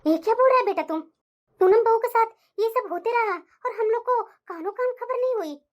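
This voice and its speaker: A woman's voice: extremely high pitch, fairly quick, highs cut off, faint music behind. A woman playing an indignant older mother-in-law in a family melodrama. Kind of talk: melodramatic family story dialogue.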